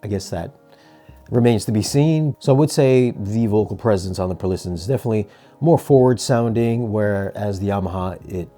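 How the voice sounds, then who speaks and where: A man presenting a product review in a conversational, measured, explanatory way. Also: lowish voice, dark tone, soft background music. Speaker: audio gear reviewer and presenter. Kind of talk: product review talk to camera.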